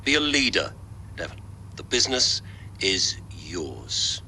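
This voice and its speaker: An actor playing a commanding older father and business magnate, formal and deliberate, in a video game drama. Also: deep voice, big pitch swings, sharp tone, slight background noise, full character voice. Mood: solemn, commanding. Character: commanding dying business patriarch, father recording his will. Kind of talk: video game cutscene acting.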